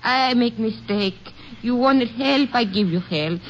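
An actress playing an evasive old Mexican field worker, with a Mexican accent and broken English, in an old-time radio drama. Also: female voice, on the high side, a little slow, close to an everyday voice. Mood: evasive, pleading. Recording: muffled, noisy vintage radio recording.

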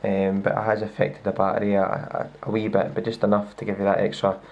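A man with a Scottish accent gives a casual, hands-on demo of a laptop, talking in a relaxed way with lots of fillers and restarts, recorded on a handheld camera indoors. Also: fairly low pitch, quick pace, creaky, highs cut off. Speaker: tech reviewer. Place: indoors at a wooden table. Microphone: handheld camera filming a laptop screen.

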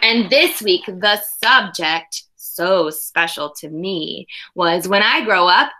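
A woman in her thirties reading a picture book aloud to young children with expressive emphasis and casual asides, in a room at home. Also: fairly low pitch, slow, slight background noise. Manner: expressive, exaggerated storytelling emphasis. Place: small room at home, indoors.

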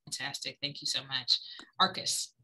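Low, bright-toned woman moderating. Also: moderate pace, low-quality recording. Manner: brief and polite, handing off to each speaker. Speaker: panel moderator. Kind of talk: moderating a panel. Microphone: video call.

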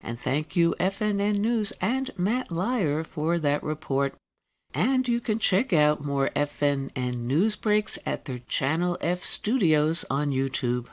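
A woman's voice: sits low, animated, slow, a little mellow. A woman presenting a radio show from a script as its host.

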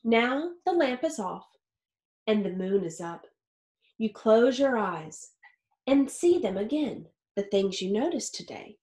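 High-voiced woman reading aloud in an echoey room. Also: very animated, takes it slowly, a little mellow. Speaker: teacher reading a picture book aloud. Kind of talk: expressive children's read-aloud. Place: small home office or study with wooden shelves. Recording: roomy, echoey indoor sound.

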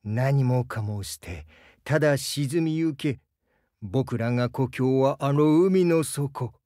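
A shy, gentle crocodile man from a video game, played by a male actor. He takes his time with words, earnest and hesitant, and gets flustered easily. His medium-pitched voice sits in a very dry recording. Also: slow, no room sound, lightly acted. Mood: battle focus. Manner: soft-spoken, hesitant, earnest, stammers when flustered. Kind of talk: Japanese game character voice lines.

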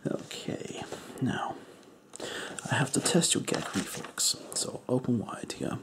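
A man voicing an eccentric, crude foreign male doctor in a deadpan whisper. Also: medium pitch. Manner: whispery, slow, deadpan joking. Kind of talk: comedic ASMR roleplay.

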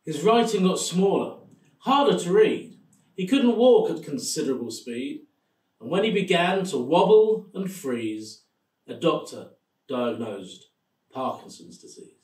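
A man's voice: on the high side, big pitch swings, unhurried, darkish tone. A middle-aged man dramatically reading a rhyming story, recorded across a room. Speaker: poem reader. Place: living room indoors. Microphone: camera across the room. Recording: echoey room, distant mic.

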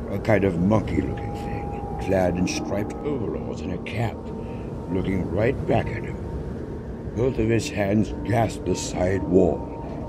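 A man narrates a story in a low voice, reading from a script. There is clear background noise, with the sound of a train behind him. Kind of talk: scripted narration of fan fiction.

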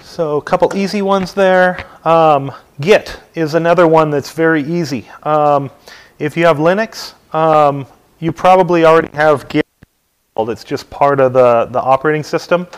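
Middle-aged man giving a relaxed tech tutorial. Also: fairly high pitch, normal speed, clear, resonant tone, rough recording. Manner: relaxed, many ums and uhs. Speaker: technical trainer. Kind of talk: hands-on tech tutorial talk. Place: dim meeting room, standing at lectern.

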